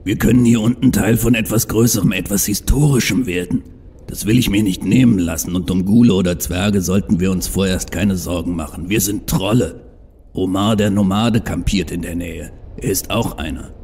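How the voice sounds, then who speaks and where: Casting: male actor as proud, stubborn troll visionary, deep and mellow, earnest and bitter, for audio drama. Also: normal speed, husky. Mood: urging, visionary. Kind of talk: fan audio drama acting.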